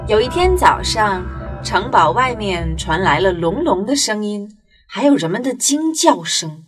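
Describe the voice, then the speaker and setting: A woman narrating a children's story in an expressive storytelling manner. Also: mid-range voice, measured pace. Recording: music behind the voice.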